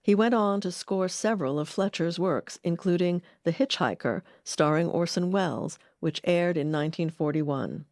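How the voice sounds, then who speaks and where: Woman narrating a documentary, measured delivery. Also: fairly low pitch, a little mellow, dry recording, clean studio sound. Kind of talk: scripted narration.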